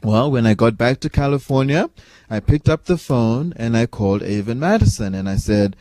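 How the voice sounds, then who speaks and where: Guy in his 40s, earnest storyteller, mellow warm tone, dry close sound. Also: medium pitch, expressive, moderate pace, dry recording. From Malawi. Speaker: peace organization vice president. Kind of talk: personal storytelling talk.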